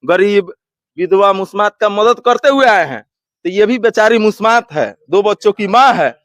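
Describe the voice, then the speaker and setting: A man's voice: high voice, animated, normal speed, a bit bright, no echo. A man speaking in the style of a public appeal.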